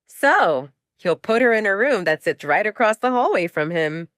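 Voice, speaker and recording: Amused young man, performed by a woman, teasing, gossipy, animated pitch, audiobook romance. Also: normal speed, dry recording, studio quality. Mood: smirking. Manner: teasing, knowing. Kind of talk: audiobook romance drama acting.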